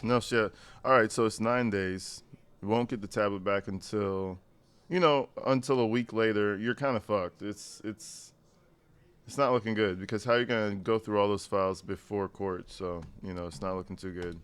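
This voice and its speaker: A man's voice: lowish voice, fairly even intonation, moderate pace, a bit bright, smooth. Sarcastic man mocking a call. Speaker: commentary channel host. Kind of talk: reaction commentary.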